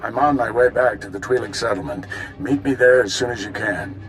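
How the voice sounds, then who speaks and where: An actor playing a brisk male Jedi master giving terse orders to his apprentice in a video game. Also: on the low side, soft background music, close to an everyday voice. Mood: urgent.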